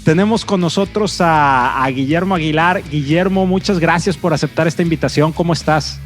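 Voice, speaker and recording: A man's voice: fairly high pitch, normal speed. A man hosting an interview podcast, building his points step by step in a rhetorical way. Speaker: podcast host.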